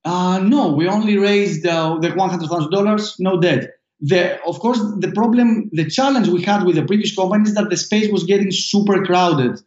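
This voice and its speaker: A Greek-accented man with a high voice answers questions haltingly, stopping and restarting his sentences. His voice sounds roomy and echoey. The recording is dull and muffled. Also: darkish tone. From Greece. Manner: halting, with many fillers and restarts. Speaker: startup founder guest. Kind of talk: casual interview answers.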